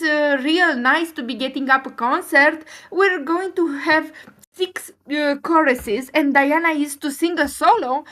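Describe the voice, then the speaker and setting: A woman's voice: very high-pitched, pushed and bright, voice pushed up, full character voice. A woman voicing an earnest, dreamy, chattering schoolgirl in a storybook reading, effusive and wordy. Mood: excited.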